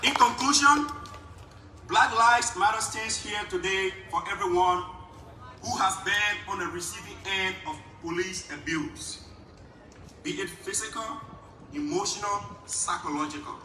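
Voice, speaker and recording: A man's voice: high voice, measured pace, echoey room, far from the mic, noisy background. A man with a Liberian accent giving an impassioned speech at an outdoor rally, building his points through repetition. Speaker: rally speaker.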